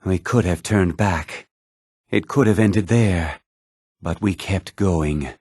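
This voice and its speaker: A man playing a grave older mage in a video game, with a measured, formal, theatrical delivery. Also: deep voice, a little mellow, slightly rough, no room sound, clean studio sound, exaggerated voice. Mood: remorseful. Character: grave older male mage, stern college leader, haunted by guilt. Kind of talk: dramatic video game voice acting.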